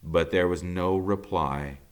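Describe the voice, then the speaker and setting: A man reading a children's novel aloud in a theatrical, emphatic storytelling style, with dramatic pauses. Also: sits low, clear, resonant tone.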